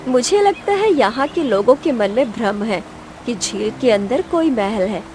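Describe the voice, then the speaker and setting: A woman's voice: a little high, very animated, unhurried, a little mellow, slight background noise. A woman playing a young princess, headstrong yet wistful, in a cartoon fairy tale. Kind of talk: Hindi cartoon story voice acting.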